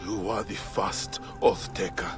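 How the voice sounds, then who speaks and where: A man's voice: low-pitched, flat delivery, a little slow, over music. An actor playing an earnest, resolute male warrior leader in ancient Egypt, in a dramatic video game style. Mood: grieving, solemn.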